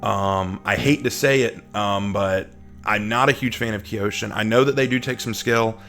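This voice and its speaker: Chatty man with a creaky voice. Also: lowish voice, fairly even intonation, moderate pace, fairly bright tone. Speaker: host of a gaming interview series. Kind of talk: casual interview chat.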